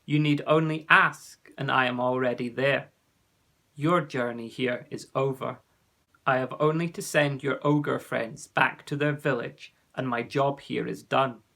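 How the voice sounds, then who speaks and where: A man's voice: mid-range voice, steady tone, unhurried, vocal fry, pushed and bright. A man voicing a wise, mysterious warrior guide in a storybook, solemn and commanding.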